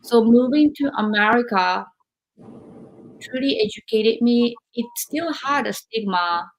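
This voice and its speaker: Woman hosting, talks real slow, lots of ums, picks words carefully. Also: on the high side, low-quality recording. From Japan. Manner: hesitant, searching for words, many fillers. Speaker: podcast host. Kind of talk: interview podcast.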